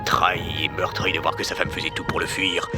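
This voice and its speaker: An actor playing an elderly, courteous Greek philosopher who teases and tells tales in a measured, theatrical way in a French audio drama. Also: male voice, sits low, level tone, lightly acted. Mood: solemn storytelling. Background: music.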